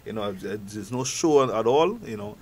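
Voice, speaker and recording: A man with a strong Trinidadian accent, a guest expert on a talk show, explaining in halting speech with many repeated words. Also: medium pitch, animated, a little mellow.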